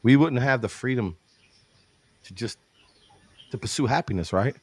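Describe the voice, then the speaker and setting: A man's voice: mid-range voice, normal speed, darkish tone, slightly rough. A man from New York hosting a casual interview show, hyping his guest, laughing often and calling him brother. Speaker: show host.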